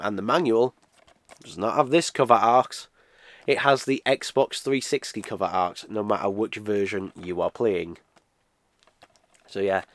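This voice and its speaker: A man's voice: lowish voice, fairly bright tone, no room sound. A British man in his twenties with a cold, talking through a casual game unboxing review in a rambling way, full of uhs and restarts. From United Kingdom. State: nasal from a cold.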